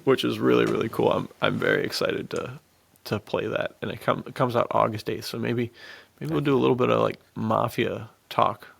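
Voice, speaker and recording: A man's voice: mid-range voice, animated, a little fast, creaky, husky. A man co-hosting a podcast in casual, enthusiastic chat, with slangy talk peppered with "dude". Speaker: podcast co-host.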